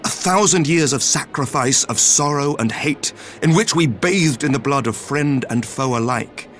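A man playing a noble young elven knight-statesman in a game cutscene, speaking formally with earnest, measured courtesy. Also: mid-range voice, a bit bright, soft background music, close to an everyday voice. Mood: solemn, earnest.

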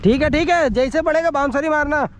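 A man playing cricket, excitably calling out instructions and banter to his teammates on an outdoor ground. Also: very high voice, a little fast. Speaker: cricket player on the field. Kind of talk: shouted on-field calls and banter. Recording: noisy open-air field recording.

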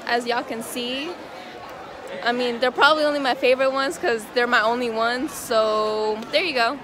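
A teenage girl chatting and joking into her phone camera outdoors at school for a video diary. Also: sits high. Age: teens. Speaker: high school student. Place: outdoors at school among crowds. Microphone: phone selfie camera. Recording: noisy outdoor crowd.

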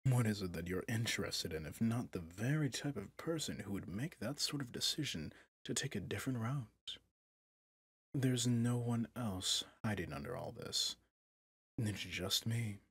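Man acting a husky, slow-talking possessive villain prince. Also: on the low side, lively intonation, dry recording, exaggerated voice. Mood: persuasive, insistent. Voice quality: husky, breathy. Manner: slow, seductive, menacingly tender. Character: possessive villain prince, smug, coaxing new husband. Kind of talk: intimate ASMR roleplay monologue.

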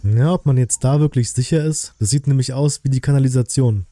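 A man in his thirties with a very dark, mellow voice of middling pitch. He comments casually as a reaction host, using colloquial, slangy wording. The sound is close and dry. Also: a little fast, no echo.

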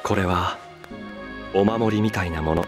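A low-voiced, wistful ancient master. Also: male voice. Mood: solemn, reflective. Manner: measured, soft-spoken, reflective. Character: serene, weary ancient master, gentle and wistful. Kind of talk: dramatic game cutscene acting. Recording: game music behind the voice.

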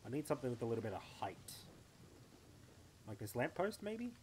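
A man's voice: medium pitch, very animated, fairly quick, a little mellow, slight background noise. A young Australian man chatting playfully to himself while streaming a game live from home. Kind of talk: live gameplay commentary.

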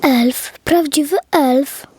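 A woman's voice: high-pitched, drawn-out words, dark tone, dry recording, voice pushed up. A woman playing a curious, friendly and bold little girl in a children's audio fairy tale, eager and full of wonder. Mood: awed.

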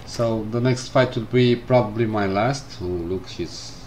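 Slow, low-voiced man playing a game. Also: slight background noise. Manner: relaxed, reads game text aloud, thinks out loud. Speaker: gameplay streamer. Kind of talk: casual let's-play commentary. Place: indoors at a computer. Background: game music.

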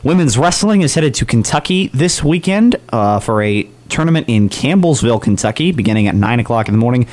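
A man's voice: mid-range voice, animated, fairly quick, hardly any pauses, a little mellow. A man hosting a college radio morning show in upbeat, chatty DJ patter with emphatic openings.

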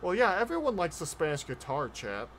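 Higher-voiced man reacting over trailer music. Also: huge pitch swings, a little fast. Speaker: streamer, VTuber host. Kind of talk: casual reaction commentary. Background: trailer music and sounds.

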